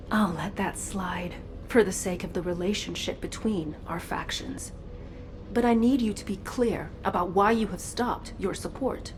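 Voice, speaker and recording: An actress playing a defiant human negotiator in a video game, stern and deliberate, holding back anger. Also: female voice, medium pitch, lightly acted. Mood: restrained anger. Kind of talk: scripted game dialogue. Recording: noisy game ambience behind voice.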